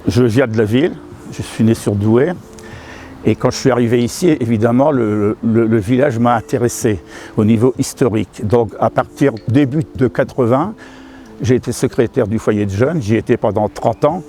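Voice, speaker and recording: An older man, a retired history teacher, recalling things in a measured, detailed way in a documentary interview. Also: medium pitch, warm tone, a little hiss or hum.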